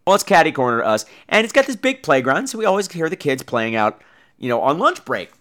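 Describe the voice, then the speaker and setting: A man's voice: fairly high pitch, big pitch swings, fast talker, a bit bright. An American man hosting a podcast, telling comic personal stories in a theatrical, campy and profane manner. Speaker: podcast host.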